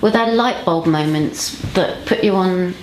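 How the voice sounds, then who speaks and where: Brief: woman interviewer, very slow, soft prompts, echoey room with faint hiss. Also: fairly low pitch.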